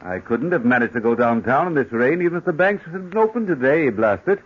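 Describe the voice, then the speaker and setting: An actor playing a smug, rich landowner who blusters and jokes dryly, in 1940s radio acting style. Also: male voice, medium pitch, very animated, fairly quick, breathy edge. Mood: blustering. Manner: blustering, dry joking. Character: rich, smug older male landowner, jocular. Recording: dull old radio recording.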